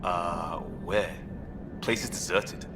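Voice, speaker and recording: A man's voice: low-pitched, slow. A man playing a laid-back, skeptical space crewman in a video game, speaking in a casual, wry way. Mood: wry doubt. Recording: echoey, noisy room.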